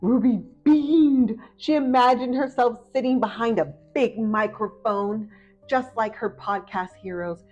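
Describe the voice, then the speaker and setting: A woman reading a children's picture book aloud as a storyteller, with expressive, excited asides to her young listeners. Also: on the high side, measured pace, dull audio, faint music behind. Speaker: read-aloud storyteller and host.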